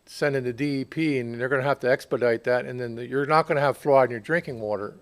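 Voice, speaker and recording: An American man, a borough utility official, answering questions at a public meeting in a conversational, halting way, often repeating himself. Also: medium pitch. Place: public council meeting room.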